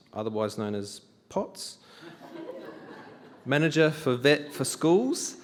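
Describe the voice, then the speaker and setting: An Australian man hosting a forum, introducing a speaker in a friendly, upbeat way. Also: mid-range voice. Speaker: forum host. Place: large convention hall. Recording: reverberant hall, livestream.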